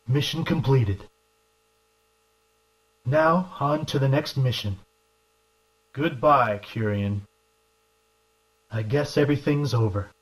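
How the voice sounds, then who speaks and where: A man's voice: mid-range voice, slow pace, long pauses, lightly acted. A man playing a determined government agent in an arcade zombie game, with stilted, declamatory delivery. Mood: solemn. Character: determined male government agent hunting a villain among zombies. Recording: echoey, distant game voice clips.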